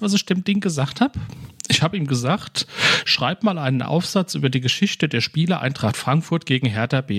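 A man's voice: a little high, breathy edge. A man co-hosting a podcast in casual chat, often tacking little tag questions onto his sentences. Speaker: podcast co-host.

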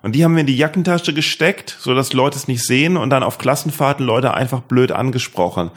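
Casual, halting man hosting a podcast. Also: mid-range voice. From Germany. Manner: hesitant, repeats himself while searching for words. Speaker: podcast host. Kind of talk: casual chat.

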